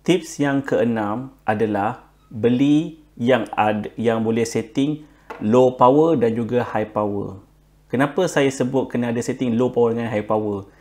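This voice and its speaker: A man with a Malaysian accent explaining tips informally and unscripted, pausing on fillers, repeating himself and mixing in English words. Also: mid-range voice, a little mellow. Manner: halting, frequent filler words and repetition; code-switches with English words. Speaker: presenter giving buying tips.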